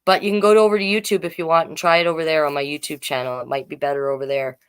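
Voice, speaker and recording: A woman's voice: low voice, fairly even intonation, racing, smooth. A woman hosting a children's livestream storytime as a drag king, chatting directly to viewers between pages.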